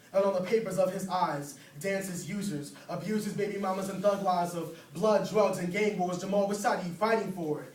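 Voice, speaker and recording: Male spoken word performer, high voice, declamatory rhythmic verse, echoey room, faint background noise. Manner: rhythmic, rhyming, declamatory delivery. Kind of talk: slam poetry performance. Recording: roomy, distant mic, faint noise.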